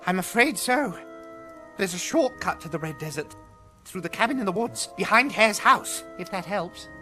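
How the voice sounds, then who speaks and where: An actor voicing a fretful, well-mannered rabbit guide in a video game, with a proper, hesitant delivery. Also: male voice, high voice, lively intonation, normal speed, pushed and bright, soft background music. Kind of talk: video game cutscene acting.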